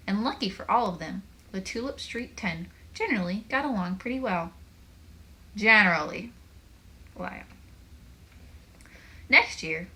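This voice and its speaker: A woman reading a children's book aloud as a teacher, in an expressive, animated way with chatty asides to young listeners. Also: medium pitch.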